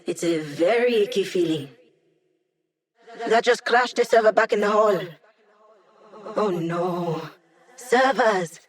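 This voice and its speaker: An actress voicing a cocky, playful young female hacker who guides a squad in a video game, with a teasing, breezy manner. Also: a little high. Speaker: hacker and mission support. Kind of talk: video game character voice lines.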